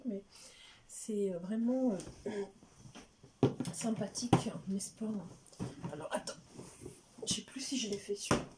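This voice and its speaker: Woman vlogger, rambling casual unboxing talk with noise behind; middle pitch, drops to near-whispers. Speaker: beauty vlogger.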